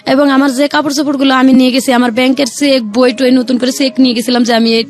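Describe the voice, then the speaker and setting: A woman's voice: high-pitched, level tone, fast, a little mellow. A woman with a Bangladeshi accent telling her own story in an interview, in colloquial rural speech, acting out the conversations she recalls. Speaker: guest, returned migrant domestic worker. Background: faint music.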